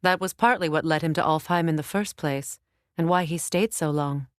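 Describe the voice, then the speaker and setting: A proud Norse warrior goddess from a video game, acted by a woman. She is a stern, grieving mother with a commanding, measured delivery and dry wit. The sound is dry and free of room. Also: sits low, no room sound, natural voice. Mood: calm, dry.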